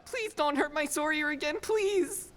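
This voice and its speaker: A woman's voice: high voice, measured pace. A woman playing a frightened, earnest, kind young boy in a stage radio play. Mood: pleading, earnest. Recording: noisy reverberant hall stage recording.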